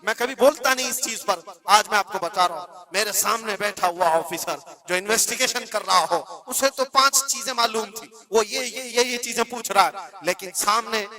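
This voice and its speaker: High-pitched, forceful Indian male preacher. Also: normal speed, hardly any pauses. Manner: emphatic, exhorting, repeats phrases for weight. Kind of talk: impassioned religious sermon.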